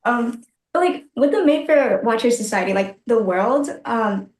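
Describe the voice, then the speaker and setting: A woman's voice: fairly high pitch, lively intonation, a little slow, darkish tone. A woman chatting casually as a podcast co-host and putting questions to a guest. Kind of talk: casual interview chat. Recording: roomy, echoing sound.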